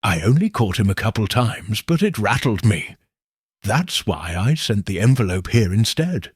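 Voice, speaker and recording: A man's voice: lowish voice, big pitch swings, normal speed, breathy edge, dry recording, clean studio sound, exaggerated voice. A man voicing a nervous, guarded young woman client, explaining herself hesitantly and earnestly in an audiobook mystery. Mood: nervous, defensive.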